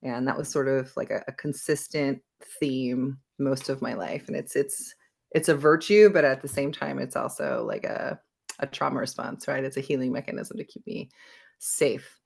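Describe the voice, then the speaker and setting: A woman's voice: extremely low pitch, expressive, moderate pace, creaky, a little mellow, husky. A woman in her forties who grew up in Belgium, a wellness coach being interviewed on a podcast, talking reflectively with frequent ums and restarts.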